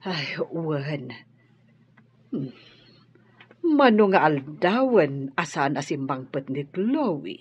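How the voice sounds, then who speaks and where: A woman playing a kindly, tired middle-aged mistress of a house in an audio drama, gentle and motherly. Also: low voice, big pitch swings, slow pace, pauses often, breathy edge, highs cut off, exaggerated voice. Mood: fond. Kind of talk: Ilocano audio drama acting.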